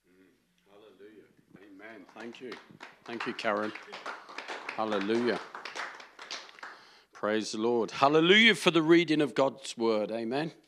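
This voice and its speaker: A man's voice: mid-range voice, normal speed, pauses often, noisy background. A man preaching an informal sermon, conversational and peppered with hallelujahs and light jokes. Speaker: pastor.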